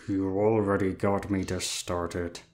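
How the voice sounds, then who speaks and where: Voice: deep voice, a little mellow. An actor in an audio drama playing Sloth, a lazy, weary demon with a drawling, indifferent manner.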